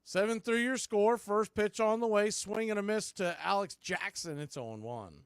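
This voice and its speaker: A man calling a baseball game live as a play-by-play announcer, mixing clipped pitch calls with folksy, casual asides. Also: moderate pace, smooth, no echo, studio quality. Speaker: baseball play-by-play announcer for a fan radio stream.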